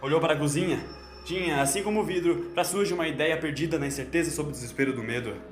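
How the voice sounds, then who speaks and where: A man's voice: on the high side, fast talker. A man narrating a scripted dramatic story in a solemn, theatrical way. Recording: echoing voice over music.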